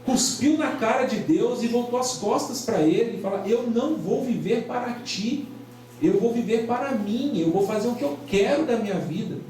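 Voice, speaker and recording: A man's voice: extremely high pitch, animated, normal speed, a little mellow. A man from Argentina giving an informal sermon to a youth conference, chatty, often tagging his sentences with a questioning "right?". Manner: conversational, tags phrases with "né?". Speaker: preacher. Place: church hall. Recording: very echoey hall, far from the mic.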